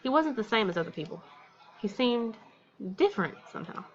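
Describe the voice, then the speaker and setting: A woman's voice: on the high side, very animated, fairly quick, lo-fi sound. An American woman reading a visual novel aloud and commenting casually as a let's play host. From Texas, United States.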